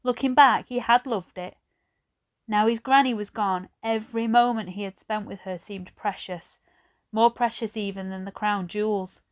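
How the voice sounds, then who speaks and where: Clear-voiced woman reading a storybook. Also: medium pitch, fairly even intonation, normal speed. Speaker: teacher reading aloud. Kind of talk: children's storybook narration.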